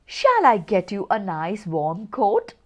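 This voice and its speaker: A woman's voice: mid-range voice, huge pitch swings, slow, a bit bright, heavily acted. A woman voicing a small, foolish, kindly male elf in a storybook, eager and earnest. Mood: concerned, eager.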